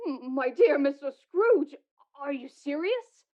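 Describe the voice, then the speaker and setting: A woman's voice: high voice, expressive, slow, dull audio. A woman playing an amazed, grateful charity collector in a radio play, drawing out the words. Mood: astonished, grateful.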